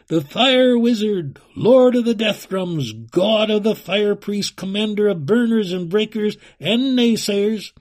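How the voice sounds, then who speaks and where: A man's voice: sits high, lively intonation, slow, hardly any pauses, darkish tone, pitched above the performer's own voice. A man voicing a grandiose herald in a storybook, pompously proclaiming. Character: grandiose male herald proclaiming his lord's titles.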